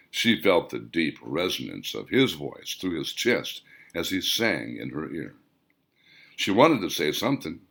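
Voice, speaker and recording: A man reading his own novel as an audiobook, with dramatic, expressive storytelling. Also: on the low side, vocal fry. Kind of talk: scripted audiobook narration.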